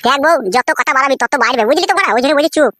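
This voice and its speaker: Man acting a fast, exasperated cartoon husband. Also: very high-pitched, background noise. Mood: irritated. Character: exasperated, crude village husband caught between two wives. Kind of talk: comic cartoon dialogue.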